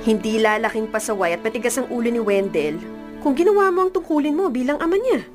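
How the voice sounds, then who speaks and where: Woman playing a frank, higher-voiced confidante. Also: expressive, fast, lightly acted. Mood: reproving. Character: frank, reproving adult woman, a family confidante. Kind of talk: radio drama acting. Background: music.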